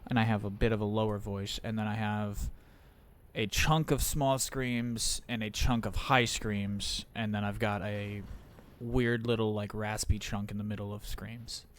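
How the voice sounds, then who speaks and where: A man live-streaming a game, chatting casually with viewers in a rambling, profane, joking way, his voice slightly raspy. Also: fairly low pitch, steady tone, a little slow, a little hiss or hum.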